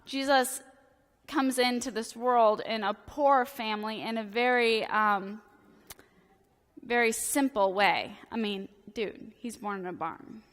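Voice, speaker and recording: A woman in her thirties, a priest, giving a casual, joking sermon with a hoarse, fading voice, chatty and self-deprecating with many filler words. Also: a little high, takes it slowly, a bit bright. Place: church sanctuary. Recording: long church reverb.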